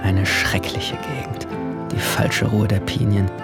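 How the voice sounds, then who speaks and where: A man's voice: lowish voice, fairly even intonation, slow, loud music behind the voice. A man playing an anguished, withdrawn seventeen-year-old boy, narrating a radio drama in a subdued, introspective, literary first person. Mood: bleak, detached. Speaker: narrator and main character.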